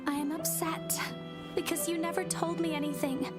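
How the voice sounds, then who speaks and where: A woman's voice: high voice, steady tone, moderate pace, over loud music, natural voice. A woman voicing a spirited, openly emotional young explorer girl in a video game. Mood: upset, scolding.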